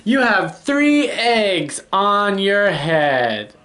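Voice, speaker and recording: A storyteller voicing a cheerful, matter-of-fact pig friend from a picture book, explaining in a deliberate singsong. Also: mid-range voice, sing-song, a bit bright, voice pushed up, heavily acted. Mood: delighted.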